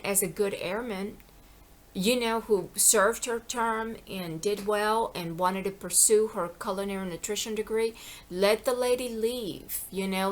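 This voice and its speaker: A woman's voice: mid-range voice, a bit bright. A middle-aged woman in an impassioned personal monologue. She rambles and repeats herself, with many "you know"s, and pleads with her viewers. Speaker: vlogger.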